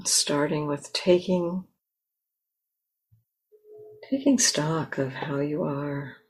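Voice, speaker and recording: A woman meditation teacher leading a guided meditation in a gentle, unhurried manner, often pausing mid-phrase. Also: low-pitched, fairly even intonation, breathy edge, low-quality recording. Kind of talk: guided meditation, slow with long pauses. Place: meditation center.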